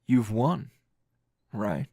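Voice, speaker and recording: A man playing a weary, teasing male teacher hero in an intimate ASMR roleplay, with a low gravelly voice and lingering pauses. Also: lively intonation, darkish tone, no room sound, studio quality, full character voice. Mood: teasing, seductive. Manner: slow, breathy murmuring with sighs and long pauses.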